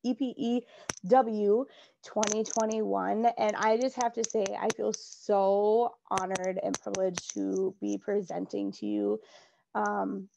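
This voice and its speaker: A woman's voice: mid-range voice, slow pace, gravelly, lo-fi sound, background noise. A woman in her thirties with a Minnesota accent, an adapted physical education teacher giving an upbeat, earnest, chatty virtual workshop talk. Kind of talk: virtual conference presentation.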